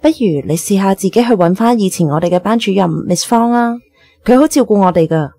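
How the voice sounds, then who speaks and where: Actress as mellow, caring young Hong Kong woman. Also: medium pitch, fairly quick, no echo, close to an everyday voice. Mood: gentle, reassuring. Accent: Hong Kong Cantonese. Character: caring young woman, gentle loyal best friend. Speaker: radio drama actor. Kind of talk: radio drama acting.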